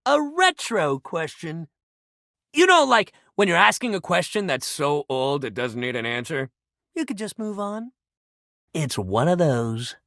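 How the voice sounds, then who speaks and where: A sporty, upbeat young dude from a video game, pitch leaping all over the place. He talks like a chummy coach full of slang, in a very dry, close recording. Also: very animated, a little slow, vocal fry, bright, forceful tone, no echo, exaggerated voice. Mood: friendly, instructive. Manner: slangy, buddy-like coach pep talk. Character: upbeat, sporty young badminton-loving dude, animated shuttlecock. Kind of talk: video game character voice acting.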